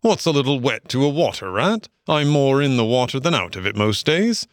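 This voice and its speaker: A man voicing a capable, good-natured male water rat in a storybook, with a brisk, hearty, avuncular manner. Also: medium pitch, animated, no echo, clean studio sound, voice pushed up, close to an everyday voice. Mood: cheery, reassuring. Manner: brisk, hearty, avuncular, old-fashioned British phrasing. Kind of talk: storybook character voice.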